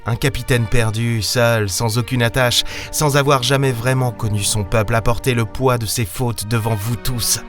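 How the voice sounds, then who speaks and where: A man's voice: mid-range voice, fairly even intonation, normal speed, few pauses, music in the background, natural voice. A man playing a weary, lonely space captain, narrating an audio drama in brooding, hesitant short phrases. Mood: wistful, despairing. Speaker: narrator and lead character of an audio serial. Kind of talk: dramatic first-person audio fiction narration.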